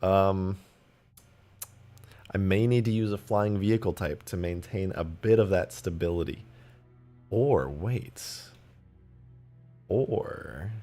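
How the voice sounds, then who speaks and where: A man with a low, deep voice talks through his modding work on a live stream. He thinks aloud, reads chat messages and breaks into loud laughs, his voice a little hoarse. Faint music plays quietly behind him. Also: takes it slowly, pauses often. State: losing his voice, slightly hoarse. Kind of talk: casual live-stream commentary.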